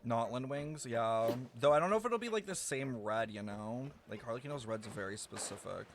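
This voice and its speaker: A man's voice: mid-range voice, lively intonation, normal speed, slight background noise. A man streaming a video game, giving casual live commentary as he plays. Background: game music.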